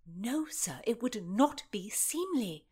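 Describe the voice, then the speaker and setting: A woman voicing a proper, quick-witted young gentlewoman in an audiobook, speaking politely and urgently as she coaxes. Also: a little high, big pitch swings, husky, full character voice. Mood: alarmed, discreet. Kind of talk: audiobook character acting.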